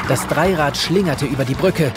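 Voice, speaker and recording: A man's voice: on the high side, normal speed. Male storyteller over background music. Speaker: narrator. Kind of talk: scripted children's audio drama narration.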